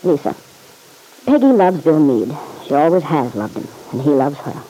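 Stern, insistent man, husky and mellow. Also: a little high, sing-song, moderate pace, poor audio. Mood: urging. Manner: blunt, slangy, accusing. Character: stern, insistent man pressing a woman for the truth. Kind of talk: old-time radio serial acting.

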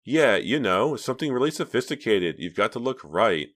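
A man's voice: medium pitch, big pitch swings, normal speed, fairly bright tone, pitched above the performer's own voice. A man voicing a spoiled, scheming teenage girl who puts on a falsely sweet tone, in an audiobook reading.

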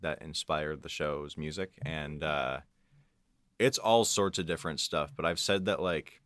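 A man's voice: very deep voice, fairly even intonation, measured pace, a bit bright, no echo. A man speaking as a guest composer in a casual interview, hesitant and restarting as he thinks aloud. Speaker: guest composer and audio engineer. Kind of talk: casual behind-the-scenes interview talk.

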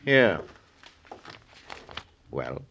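An actor playing a suspicious, proud forger in an old radio drama, curt and measured with quiet menace. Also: male voice, low-pitched, big pitch swings, vocal fry, muffled recording, exaggerated voice. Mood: wary, menacing. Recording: noisy old radio recording.

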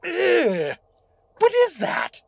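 A man's voice: extremely high pitch, wildly swooping pitch, drawn-out words, gravelly, heavily acted. An actor playing an indignant, sarcastic everyman from another world who is imprisoned in a comedic fantasy audio drama. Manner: exasperated, complaining, wisecracking.

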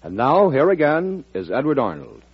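A man's voice: mid-range voice, wildly swooping pitch, normal speed. A man working as a radio announcer, delivering a formal, emphatic 1940s promotional read. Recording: muffled old radio broadcast.

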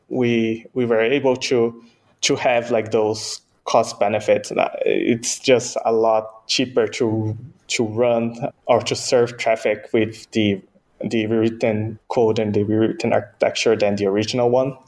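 A man from Brazil whose first language is Portuguese, answering interview questions about software in a hesitant way, often restarting and laughing softly. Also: on the low side, level tone, a little slow. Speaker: software engineer guest.

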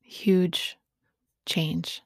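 Woman, late 40s, podcast monologue, breathy and husky, subdued intonation, halting phrasing, dry close sound. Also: lowish voice, little pitch movement, dry recording, in a whisper. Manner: halting, pausing between short phrases. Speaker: podcast host speaking on sobriety. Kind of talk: reflective personal monologue.

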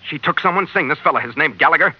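An actor playing a tough, weary adventurer skipper in a hard-boiled old-time radio drama, with clipped delivery. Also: male voice, on the high side, animated, rapid-fire, husky. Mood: urgent, demanding. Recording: dull, lo-fi old radio recording.

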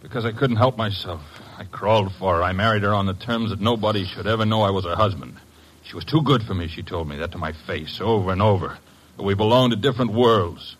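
A man playing a cornered, lovesick murderer, bitter and defensive, with a husky voice and blunt, pleading delivery in a 1950s radio drama. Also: lowish voice, quick pace, low-quality recording. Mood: bitter, broken. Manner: blunt, plain-spoken, pleading.

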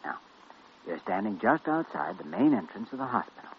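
An actor playing a firm, caring hospital doctor, earnest and soothing, in 1940s radio drama style. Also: male voice, lowish voice, sing-song, fairly quick. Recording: muffled, hissy old radio recording.

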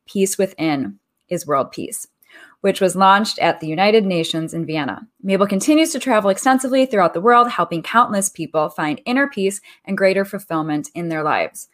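A woman hosts a talk radio show in a friendly, upbeat way. Her voice sits in an ordinary middle pitch. She reads an introduction smoothly before moving into questions. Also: lively intonation, moderate pace, a bit bright. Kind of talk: interview host reading a guest bio.

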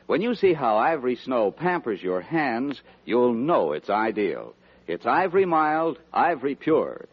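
A man reading a scripted product advertisement in 1940s radio announcer style. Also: medium pitch. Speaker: commercial announcer. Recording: dull lo-fi 1940s radio recording.

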